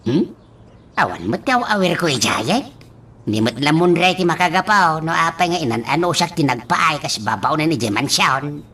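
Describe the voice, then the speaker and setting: A woman playing a chatty, excitable household helper in an Ilocano comedy radio drama, exclamatory and theatrical, with an Ilocano accent. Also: low-pitched, moderate pace, husky, exaggerated voice. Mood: excited gossip. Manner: exclamatory, theatrical, repeats catchphrases.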